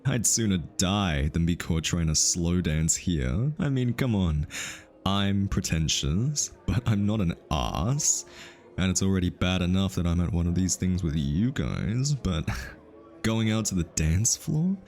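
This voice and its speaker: A man's voice: low voice, lively intonation, a little slow, a little mellow. A man voice-acting an ASMR roleplay as a pretentious, easily flustered hitman posing undercover as a society consultant, with an arch, teasing and theatrically polite manner. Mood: haughty, scoffing. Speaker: voice actor.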